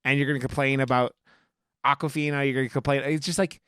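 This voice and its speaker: Clear-voiced man rambling through casual podcast chat. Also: mid-range voice, flat delivery, fast, a bit bright, dry recording, studio quality. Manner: rambling, heavily swearing, emphatic. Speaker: podcast co-host. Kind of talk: casual film review chat.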